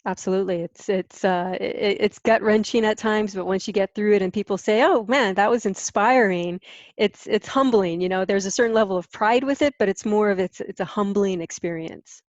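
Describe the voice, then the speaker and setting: A woman's voice: mid-range voice, normal speed, dry recording, highs cut off. A middle-aged American woman from Hawaii answering interview questions in a candid, explanatory, conversational way. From Hawaii, United States. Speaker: interview guest, content marketing business owner.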